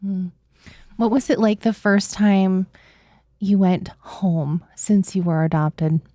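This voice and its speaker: A woman podcast host putting gentle interview questions to a guest. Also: mid-range voice, steady tone, takes it slowly, dry recording, clean studio sound.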